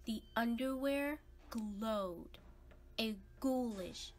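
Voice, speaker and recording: A woman's voice: on the high side, extremely slow. A woman narrating a children's read-aloud expressively, with dramatic, suspenseful emphasis. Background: background music.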